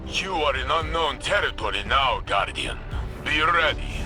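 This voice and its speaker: A stern male battle ally played by a man gives warnings in a mid-pitched voice. It is scripted video game dialogue with music clearly behind it. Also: animated, measured pace, natural voice. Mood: urgent warning.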